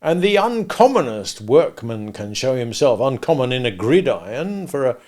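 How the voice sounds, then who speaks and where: A man voicing a kindly, slow-witted working-class blacksmith who talks ponderously in rustic grammar. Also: mid-range voice, sing-song, a little mellow. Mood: earnest, patient.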